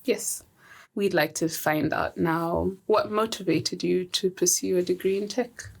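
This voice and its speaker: A woman's voice: fairly low pitch, fairly even intonation, measured pace, gravelly, breathy edge. Zambian-born woman hosting a podcast. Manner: friendly, laughs often. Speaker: podcast host. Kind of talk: casual podcast conversation.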